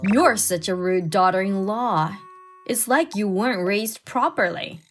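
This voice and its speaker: A woman's voice: medium pitch, lively intonation, unhurried. A woman voicing a spiteful, possessive older mother-in-law in a dubbed comic story, haughty and berating, over music. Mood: scolding, indignant. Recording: music bed under the voice.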